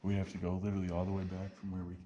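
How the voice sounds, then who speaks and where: A young man with a fairly low voice talks casually as he explores a large abandoned building, turning often to address viewers. The audio comes from a body-worn camera and carries clear background noise. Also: rapid-fire, highs cut off. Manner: chatty, addresses viewers directly, excited pointing. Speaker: urban explorer host.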